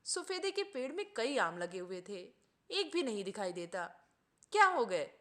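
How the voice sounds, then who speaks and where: A woman voicing an indignant gentleman doctor from a storybook, in a dramatic, formal, emphatic manner. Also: on the high side, very animated, unhurried, exaggerated voice. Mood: accusing. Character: indignant, melodramatic gentleman doctor, master of an orchard.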